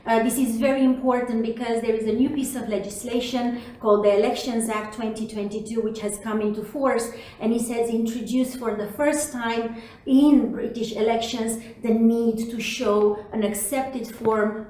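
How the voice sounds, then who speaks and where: A woman's voice: on the high side, fairly even intonation, moderate pace, mellow, heavy reverb, recorded from a distance, rough recording. A woman from Romania, a city official, answering interview questions in an earnest, campaigning way.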